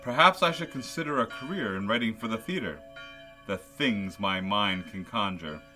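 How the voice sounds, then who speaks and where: A man acting a grumpy old miser in a radio play, with a low, forceful voice. He scoffs and mutters theatrically to himself over background music. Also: expressive, moderate pace. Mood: scoffing. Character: grumpy old male miser, dismissive and skeptical.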